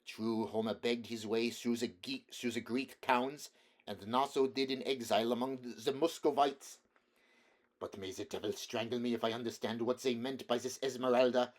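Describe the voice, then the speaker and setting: A man's voice: medium pitch, fairly even intonation, normal speed, lightly acted. A man reading a story aloud, voicing a vain poet who grumbles in exasperation. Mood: grumbling.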